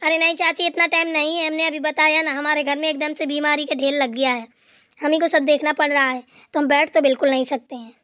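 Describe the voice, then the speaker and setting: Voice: sits high, level tone, a little fast, sharp tone, no room sound, clean studio sound. A performer voicing a dutiful, overworked village daughter-in-law in a Hindi cartoon, chatty and deferential. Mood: apologetic. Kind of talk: Hindi family cartoon dialogue.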